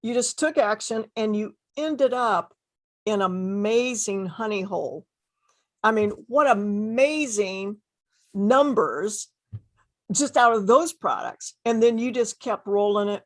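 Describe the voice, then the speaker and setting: A woman's voice: medium pitch, lively intonation, measured pace. Effusive woman interviewer. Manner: effusive, repeats phrases for emphasis. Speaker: host interviewing successful online sellers. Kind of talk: enthusiastic interview.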